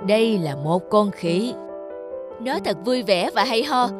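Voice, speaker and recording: A woman's voice: mid-range voice, lively intonation, measured pace, lightly acted. Woman playing a stern old God, music behind. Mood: benevolent. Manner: solemn, commanding, scolding. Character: stern old male God handing out lifespans. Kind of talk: animated fable dubbing.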